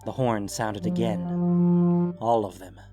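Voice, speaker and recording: A man reading scripted story narration with dramatic pauses and deliberate phrasing. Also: fairly low pitch, fairly even intonation, dark tone. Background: music.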